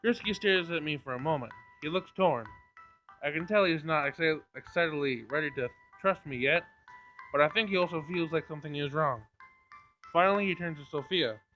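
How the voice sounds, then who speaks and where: A man's voice: on the high side, brisk. A man reading a visual novel aloud as dramatic narration on a gaming channel, in a screen recording. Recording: dull lo-fi screen recording, game music behind. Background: faint game music.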